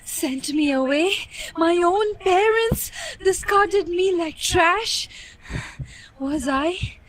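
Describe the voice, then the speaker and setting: Woman acting anguished, very high-voiced Indian boy. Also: extremely slow, fairly bright tone, exaggerated voice, low-quality recording. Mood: anguished, frantic. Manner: tearful, repetitive outbursts. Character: anguished, rejected, volatile young son. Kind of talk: amateur radio play acting.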